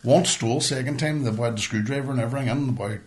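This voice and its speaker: A man's voice: mid-range voice, racing. A middle-aged man from Northern Ireland with a Northern Irish accent, telling stories in casual, sweary chat as a podcast host. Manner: blunt, sweary.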